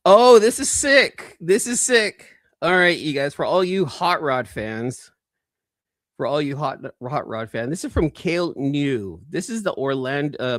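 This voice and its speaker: A man's voice: medium pitch, no room sound, clean studio sound. A man hosting a live collecting show, chatting casually and enthusiastically in informal slang, often repeating phrases for emphasis. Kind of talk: casual live-stream chat showing off comics.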